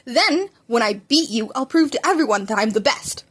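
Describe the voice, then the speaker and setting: A brash teenage boy rival, acted out by a woman reading fan fiction. The voice sits high for all its scorn. He is blunt, bossy and quick to insult. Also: expressive, fairly bright tone, husky. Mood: pushy, competitive. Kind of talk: fan fiction audiobook character voice.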